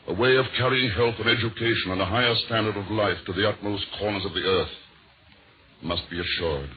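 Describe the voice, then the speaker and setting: Low-pitched solemn man on tinny old radio. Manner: solemn, deliberate oratory. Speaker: speaker reading a signed statement. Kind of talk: formal scripted 1940s radio address. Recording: tinny vintage 1940s radio, faint music.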